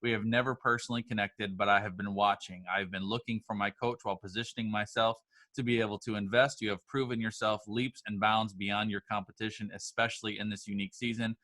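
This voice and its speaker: Male business coach, bright punchy delivery, emphatic and informal livestream style, dry sound. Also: on the low side, little pitch movement, fast, no echo. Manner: emphatic, repeats phrases for emphasis; informal, addresses listeners directly. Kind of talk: motivational livestream talk to followers.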